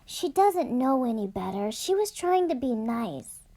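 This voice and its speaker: A woman reads as a gentle picture-book mother. She is patient and reassuring, speaking slowly in a fairly high voice. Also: big pitch swings, heavily acted.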